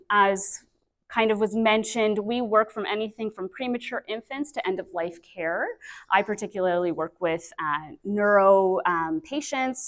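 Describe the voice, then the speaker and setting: A woman in her thirties, a speech-language pathologist giving a lively, chatty conference talk on a microphone, with many fillers. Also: medium pitch. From Canada. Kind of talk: conference presentation. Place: conference breakout room. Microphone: handheld or stand mic with feedback.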